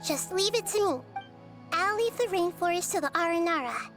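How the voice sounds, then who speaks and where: A woman's voice: very high-pitched, expressive, moderate pace. A woman voicing a kind, childlike little girl deity in a video game, thoughtful, articulate and caring. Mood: reassuring. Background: game music.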